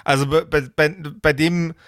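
A man's voice: a little high, little pitch movement, normal speed, a bit bright, dry recording, clean studio sound. A man talking casually as the game master of a tabletop role-playing group.

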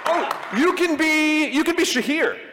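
Male panel host, high and bright, live on stage in a reverberant hall. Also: expressive, a little slow, a crowd in the background, noisy background. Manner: theatrical speech-giving opener. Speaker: podcast host.

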